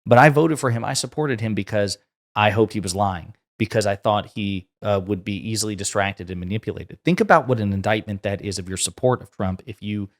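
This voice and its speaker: A man with an American accent and a fairly low voice hosts a political commentary show. He speaks casually and argues his points with emphasis. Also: a little fast. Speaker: host commentator.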